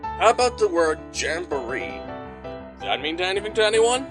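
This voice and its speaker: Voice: medium pitch, very animated, moderate pace, over music, natural voice. A performer voicing an earnest, inquisitive alligator secret agent in a cartoon fandub, with theatrical delivery. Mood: questioning.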